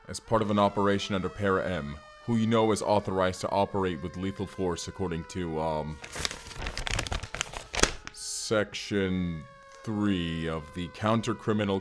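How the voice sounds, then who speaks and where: A man's voice: low voice, normal speed, slight background noise, lightly acted. A man playing an earnest wasteland barbarian turned corporate fighter in an audio roleplay, speaking in stilted, literal, short sentences. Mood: stern, formal. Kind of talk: immersive first-person audio roleplay acting.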